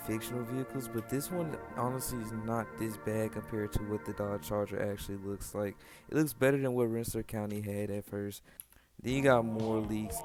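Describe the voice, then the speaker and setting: A man with a fairly low voice talks as a gaming video creator, in a casual, informal way. He repeats words for emphasis as he comments. Music plays clearly behind him. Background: background music.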